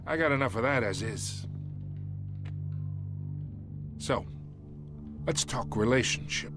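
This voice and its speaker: A man's voice: mid-range voice, animated, moderate pace, music in the background, lightly acted. A man playing a smug, menacing middle-aged mob boss in a video game, with slangy, swaggering, condescending tough talk. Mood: menacing persuasion. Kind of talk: dramatic video game acting.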